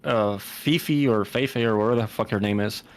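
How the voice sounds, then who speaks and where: A man's voice: on the low side, a little fast, faint music behind, poor audio. A man on a voice call, a guest on a casual podcast, telling a story bluntly and with plenty of swearing. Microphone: Discord call.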